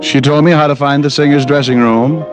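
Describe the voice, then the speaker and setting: An actor playing a hard-boiled private detective who narrates with deadpan wisecracks in a 1950s radio drama. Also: male voice, on the high side, fairly quick, lightly acted. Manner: wisecracking, deadpan tough-guy patter. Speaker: narrator and lead. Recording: old radio recording with band music.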